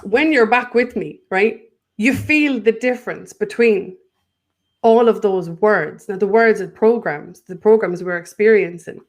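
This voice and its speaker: A woman's voice: medium pitch, a little slow. A woman with an Irish accent, a guest in a spiritual conversation, speaking earnestly and often breaking off to restart her thought.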